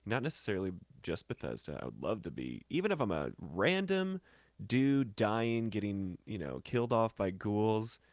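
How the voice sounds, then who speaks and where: A man's voice: lowish voice, very animated, moderate pace, dry recording, studio quality. A man who works as a musician and voice actor, talking casually and reflectively as an interview guest.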